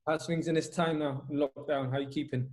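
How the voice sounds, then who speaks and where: A man's voice: on the high side, a little mellow. A man from Albania, a youth football coach telling his story earnestly and steadily, with many ums.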